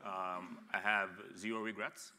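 A man's voice: lowish voice, flat delivery, unhurried, big-room sound, background noise. A man in his thirties who grew up in Ethiopia, speaking frankly as an entrepreneur on a panel, with frequent restarts. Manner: blunt, frank, with frequent restarts and repeated words. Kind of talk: candid panel talk. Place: studio stage set, seated in an armchair.

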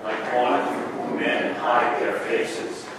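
A man reading the liturgy aloud in a slow, measured way in a church. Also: mid-range voice, monotone, a crowd in the background. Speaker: liturgy reader. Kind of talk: responsive reading. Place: church sanctuary. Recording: distant echoey church, loud noise.

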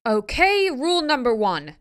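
Woman as a high-voiced, assertive teenage girl. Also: very animated, normal speed, fairly bright tone. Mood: firm. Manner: firm, assertive. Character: Rachel, confident, responsible, bossy teenage girl. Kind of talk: audiobook character dialogue.

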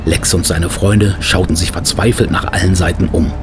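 Low male voice actor narrating a detective adventure audio drama, music clearly behind. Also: fairly even intonation, normal speed, natural voice. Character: storyteller of a youth detective adventure. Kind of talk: scripted radio play narration.

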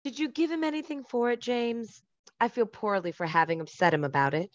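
A woman's voice: a little high, fairly quick, dry recording, muffled recording, close to an everyday voice. A woman acting in a radio play as an affectionate, mildly scolding wife and mother. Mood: concerned. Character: fond, fussing middle-aged wife and mother.

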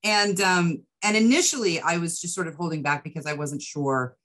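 A middle-aged woman answering interview questions as a guest on a video call, thoughtful and measured, with pauses. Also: lowish voice, a bit bright. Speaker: guest writer and producer. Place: indoor room with bookshelves, video call.

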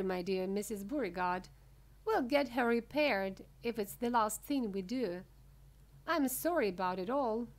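A breezy, eccentric storybook sweet-maker, a man as a woman reader plays him. He is rueful and sighing yet unbothered, with a lively, unhurried delivery. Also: a little high. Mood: brisk, reassuring. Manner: sighing, matter-of-fact.

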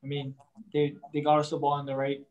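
A young man, a college quarterback, answering post-game press questions in a halting way, leaning on "I mean" and crediting his teammates. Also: fairly high pitch, monotone, dull audio. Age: young adult. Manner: halting, starts nearly every phrase with "I mean".

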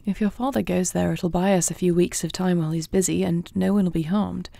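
A woman playing a poised young warrior princess in a fantasy audio roleplay, speaking with formal, measured, regal diction. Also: on the low side, fairly even intonation, vocal fry, warm tone, dry recording. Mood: diplomatic. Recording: faint night cricket ambience.

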